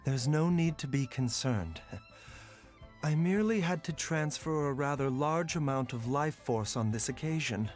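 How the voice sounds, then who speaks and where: A man voicing a calm, composed physician in game dialogue, speaking in a soft, formal, measured way. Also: a little high, close to an everyday voice. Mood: reassuring. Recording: soft music underneath.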